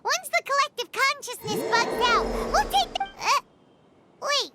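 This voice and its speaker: Tiny squeaky excitable companion creature. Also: female voice, a little slow. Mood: surprised, chattering. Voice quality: very high, squeaky. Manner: chirpy, exclaiming, impulsive. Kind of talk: video game voice acting. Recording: loud game music behind the voice.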